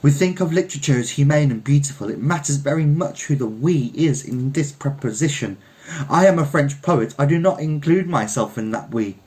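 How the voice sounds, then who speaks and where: A man voicing an old French poet who gives a forceful, insistent street oration in a story reading. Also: fairly high pitch, expressive, moderate pace, pitched above the performer's own voice, natural voice. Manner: insistent, declamatory. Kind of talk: impassioned public oration within a story reading.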